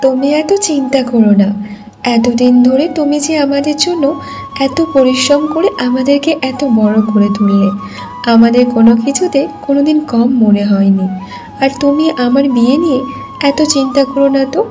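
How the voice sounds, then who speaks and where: A woman voicing a gentle, dutiful young village woman in a cartoon folk tale, speaking in an earnest, pleading manner. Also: high-pitched, normal speed, faint music behind, natural voice. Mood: reassuring.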